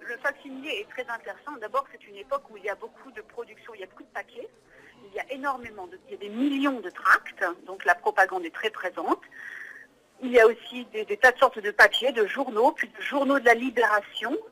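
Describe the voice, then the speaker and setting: A woman author answering interview questions thoughtfully, pausing often with fillers. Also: fairly high pitch, sharp tone, husky, poor audio. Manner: thoughtful, halting with many fillers. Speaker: author and historian.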